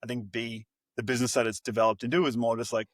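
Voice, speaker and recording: A man's voice: mid-range voice, level tone, racing, no room sound. Australian male guest, mid-range voice, easy conversational tone, dry close recording. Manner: friendly and conversational, says "mate". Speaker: podcast guest, business co-founder. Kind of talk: casual interview chat.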